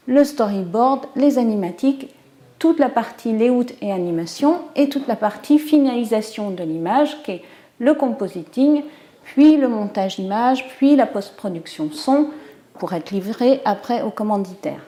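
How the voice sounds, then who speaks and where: A woman in an interview, calmly explaining how animation production is organized. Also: on the high side. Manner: measured, clear explaining.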